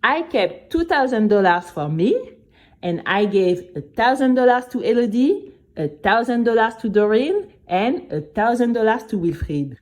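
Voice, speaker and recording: A woman's voice: on the high side, moderate pace, close to an everyday voice. A woman playing an earnest, chatty young girl who narrates her own adventure expressively, talking straight to the listener. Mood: excited, earnest. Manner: expressive, conversational, addresses listener. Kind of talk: animated first-person story narration.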